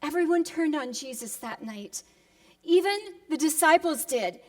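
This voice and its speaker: A woman preacher giving a solemn, dramatic reflective sermon, deliberate with emphatic pauses, moving between hushed and impassioned delivery, in a reverberant hall. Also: high-pitched, expressive. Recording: long echoing hall reverb.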